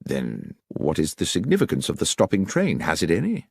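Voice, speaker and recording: A man reads a keen gentleman detective, his voice lifted a little higher and crisp, probing with dry irony. Lively and exact in a close, dry recording. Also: moderate pace, dry recording, exaggerated voice. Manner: crisp, probing, dryly ironic. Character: sharp, precise male detective, middle-aged.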